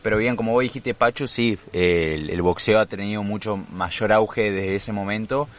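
Argentine man, low-pitched co-host, easygoing sports talk full of fillers. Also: normal speed. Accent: Argentine Spanish. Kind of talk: casual sports radio chat.

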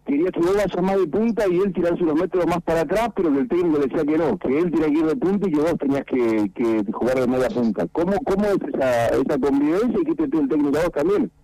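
A man's voice: on the high side, fast, few pauses, warm tone, dry recording, rough recording. A man with an Argentine accent, hosting a radio sports interview, asking long rambling questions full of fillers. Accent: Argentine Spanish.

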